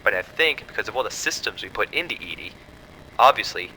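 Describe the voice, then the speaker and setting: Male actor uneasy analyst, lowish pitch, very crisp bright tone, earnest, cautious, explanatory, horror audio drama, faint background rumble. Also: expressive, brisk, rough recording. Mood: concerned. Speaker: scientist's assistant.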